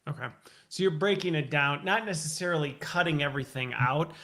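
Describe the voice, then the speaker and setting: Inquisitive man with a bright, forceful voice. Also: a little high, brisk. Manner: talkative, inquisitive, recaps and checks back. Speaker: show host and interviewer. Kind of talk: conversational interview, thinking aloud.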